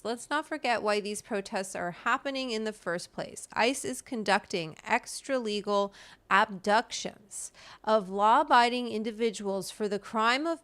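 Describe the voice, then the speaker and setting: A woman's voice: on the high side, fairly bright tone. A woman hosting a talk, reading a written political essay aloud in an emphatic, impassioned way with brief asides. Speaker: host reading an article aloud.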